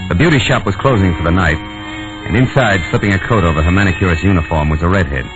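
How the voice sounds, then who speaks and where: An actor with an American accent playing a tough, wry private detective in clipped 1940s radio noir style. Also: male voice, low-pitched, fast, close to an everyday voice. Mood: wry narrating. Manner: clipped, hard-boiled, wry. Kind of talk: 1940s radio acting, first-person noir narration. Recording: dull old radio broadcast, music behind.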